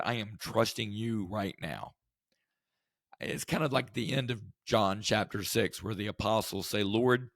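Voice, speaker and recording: A man hosting a devotional podcast, his voice hoarse from the flu, teaching in an earnest, conversational way with rhetorical questions. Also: lowish voice, no room sound, clean studio sound. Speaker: podcast host.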